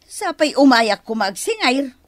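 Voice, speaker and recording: A woman's voice: high voice, very animated, measured pace, dry recording, clean studio sound. A woman playing a gossipy, pushy neighbour who collects debts in an Ilocano radio comedy drama, with an Ilocano accent and exclamatory, sing-song delivery. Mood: insistent.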